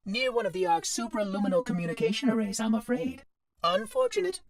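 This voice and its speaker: A man's voice: extremely high pitch, big pitch swings, fast, creaky, no echo, clean studio sound, full character voice. A man voicing a fussy, chatty, polite robot caretaker in a video game, with prim, precise diction. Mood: fretful. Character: fussy, chatty, polite floating robot monitor of an ancient installation. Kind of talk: video game cutscene voice acting.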